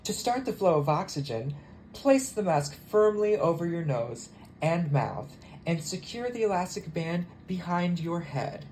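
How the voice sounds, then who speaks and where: A man's voice: a little high, sing-song, measured pace, darkish tone, slight background noise. Bitter, sarcastic jilted flight attendant, acted by a man. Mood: sardonic. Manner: sarcastic, wounded, emphatic. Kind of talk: theatrical solo monologue as cabin announcement.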